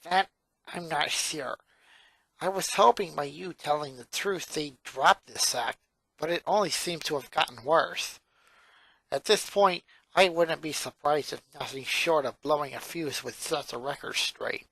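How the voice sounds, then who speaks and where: A performer voicing a four-year-old girl genius from a cartoon fan story, monotone and sarcastic, with pedantic, wordy phrasing. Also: medium pitch, measured pace, gravelly, husky, dry recording, exaggerated voice, poor audio. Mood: detached.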